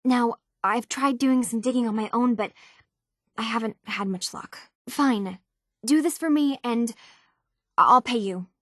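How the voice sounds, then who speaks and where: Earnest young synth woman, husky, higher-pitched. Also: measured pace, a bit bright, no echo, clean studio sound. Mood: urgent, pleading. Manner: earnest, hesitant, conversational. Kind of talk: video game character dialogue.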